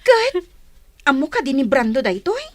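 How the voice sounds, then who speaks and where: An actress playing a nosy, outspoken young best friend in an Ilocano radio drama. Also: female voice, high voice, wildly swooping pitch, normal speed. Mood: concerned, probing.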